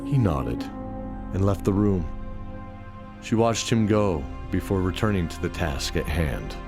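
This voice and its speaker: A man's voice: low voice, expressive, a little slow. A man narrating a scripted fantasy audio drama as its storyteller. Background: prominent background music.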